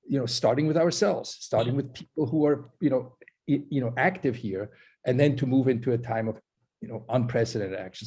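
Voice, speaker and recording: A man's voice: medium pitch, dark tone, husky. A man from Switzerland hosting an online forum on a video call. He speaks earnestly, with many restarts and "you know"s. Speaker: forum host. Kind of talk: live online forum introduction.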